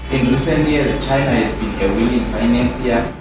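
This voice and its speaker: Male presenter reading a paper formally, a little off-mic with clear music behind. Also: medium pitch, moderate pace, distant mic. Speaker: research presenter. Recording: echoey room, off-mic, music underneath.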